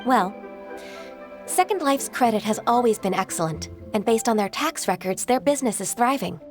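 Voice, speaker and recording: Woman as young official, game character, composed, courteous, formal, measured, slightly high voice. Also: lively intonation, faint music behind, close to an everyday voice. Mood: composed, firm. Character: polite, composed, diligent young female official. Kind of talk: video game voice acting.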